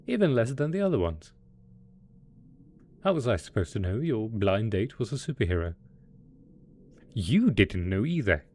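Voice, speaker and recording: A man's voice: on the low side, very animated, normal speed, long pauses, darkish tone. A man voicing a melodramatic, possessive mad scientist supervillain boss in a roleplay audio drama, smug and coaxing in manner. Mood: indignant, defensive. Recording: faint background noise.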